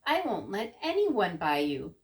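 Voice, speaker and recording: Exasperated strict dad from a kids story, done by a lady, emphatic, swoopy pitch, takes his time. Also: wildly swooping pitch, measured pace. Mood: soothing. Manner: scolding, emphatic exclamations. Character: exasperated, strict but loving father of young girl. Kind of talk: children's storybook character voice.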